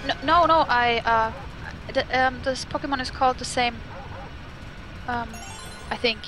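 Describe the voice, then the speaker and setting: A man voicing a bubbly young female chat viewer in a high, hesitant, giggly voice. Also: bright, forceful tone, heavily acted, low-quality recording. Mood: bashful. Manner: giggly, hesitant, drawn-out speech. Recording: game music underneath.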